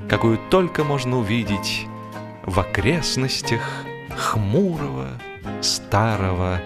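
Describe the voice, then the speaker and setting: A man reading a humorous story as an audiobook narrator, in an expressive, measured storytelling manner. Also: mid-range voice, gravelly. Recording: music behind the voice. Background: instrumental music.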